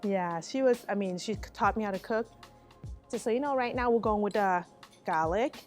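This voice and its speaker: A woman's voice: mid-range voice, moderate pace, soft background music. A woman from Thailand with a Thai accent, a guest chef walking through a recipe step by step as she cooks. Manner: explains step by step while cooking, light laughs. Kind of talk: cooking demonstration. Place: restaurant bar kitchen.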